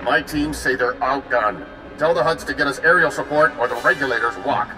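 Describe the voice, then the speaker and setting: An actor playing a defiant, rousing rebel leader in a video game. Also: male voice, medium pitch, brisk, recorded from a distance, over music, natural voice, low-quality recording. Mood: urgent.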